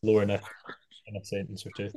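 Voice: low-pitched, monotone, fast talker, dark tone. A podcast host in their twenties, leading a casual group interview with self-deprecating banter. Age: 20s.

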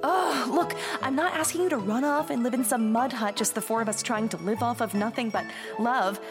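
Woman acting bold teenage Sicilian girl, radio drama, high voice, teasing, persuasive, playful schemer, dramatic, music behind. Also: brisk, close to an everyday voice. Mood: teasing, insistent. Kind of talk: cinematic radio play acting. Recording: voice over soundtrack music.

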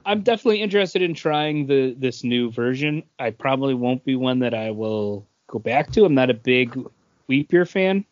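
A man co-hosting a podcast in casual chat, telling things in a halting, conversational way. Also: medium pitch, expressive, no echo. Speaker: podcast co-host. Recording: dull lo-fi audio.

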